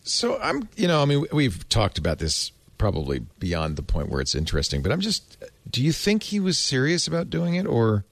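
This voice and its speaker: A man hosting a casual panel podcast, thinking out loud in a playful, expressive way. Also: medium pitch, fairly quick, creaky, no echo. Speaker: podcast host.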